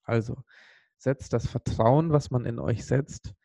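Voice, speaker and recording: A man from Sri Lanka speaking earnestly and with emphasis on a motivational podcast, pausing for weight as he tells a personal story. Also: medium pitch, fairly even intonation, creaky, mellow, dry recording.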